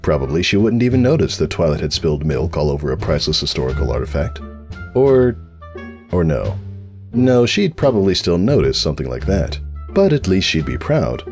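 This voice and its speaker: A man reading scripted comic fiction as a dramatic narrator, mock-grandiose with deadpan asides. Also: a bass voice, brisk, soft background music.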